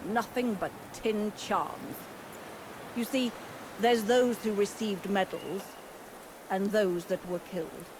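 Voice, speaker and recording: An actress playing a gruff, bitter older innkeeper and former resistance fighter in a video game, blunt and world-weary. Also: female voice, mid-range voice, takes it slowly, natural voice. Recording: clear background ambient noise.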